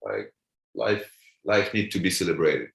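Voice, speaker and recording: A man's voice: low voice, big pitch swings, unhurried, gravelly, a bit bright. A man, chatting casually as a guest comedian, often repeating words as he searches for them. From France. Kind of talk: casual chat. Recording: roomy echo.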